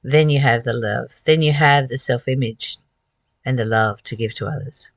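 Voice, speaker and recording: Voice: mid-range voice, normal speed, creaky, no room sound, muffled recording. A host reading aloud from a spiritual book and commenting on it, earnest and emphatic, with repeated phrases and rhetorical questions.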